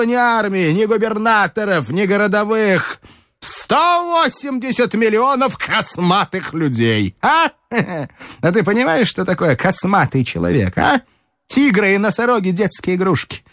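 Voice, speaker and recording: A man voicing a frantic engineer who bellows his prophecies of collapse in a voice pushed high and hard. Each exclamation swings wildly up and down as he raves on a close, dry reading. It sounds like a storybook radio reading. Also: a bit bright, dry recording. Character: excitable male engineer shouting doom.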